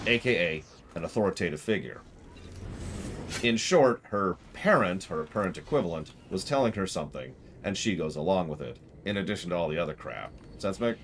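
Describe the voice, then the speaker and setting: A man's voice: sits low, background noise. A man giving casual live commentary as a game streamer, emphatic and digressive.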